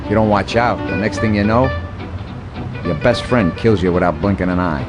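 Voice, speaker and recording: A man playing a middle-aged mob advisor in a video game cutscene, calm and measured as he counsels. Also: lowish voice, music in the background, lightly acted. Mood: fatherly, cautioning. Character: calm older mobster advisor, fatherly, cautionary, businesslike.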